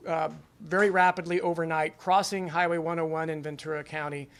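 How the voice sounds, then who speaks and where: A man giving a halting official briefing. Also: on the high side, level tone, clear, resonant tone. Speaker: fire official. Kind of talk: briefing remarks.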